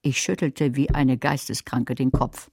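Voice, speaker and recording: An actress playing a wry, scheming female carer who narrates a radio crime drama in a dry, measured, sardonic way. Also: extremely low pitch, steady tone, vocal fry, a little mellow, breathy edge, dry recording, exaggerated voice. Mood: wry, uneasy narrating. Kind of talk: radio play narration and dialogue.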